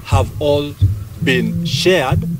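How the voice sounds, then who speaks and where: A man's voice: fairly high pitch, extremely slow, heavy background noise. A man, a trade union official, speaking to the press in a confident, reassuring manner. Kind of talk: speech to the press.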